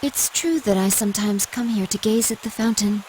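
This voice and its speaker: A woman voicing a calm, serious and quietly curious android, speaking in a measured way in an English anime game dub. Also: medium pitch, slight background noise, natural voice, rough recording. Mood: calm, reflective. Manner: measured, composed.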